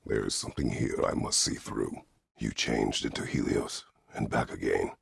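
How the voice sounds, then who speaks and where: An actor playing a stern, weary, middle-aged Greek war god in a video game, speaking tersely and formally with a gravelly, husky voice. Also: male voice, a bass voice, steady tone, normal speed, no echo, studio quality, heavily acted. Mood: stern, brooding. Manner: terse, grave, formal phrasing without contractions. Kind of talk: dramatic video game voice acting.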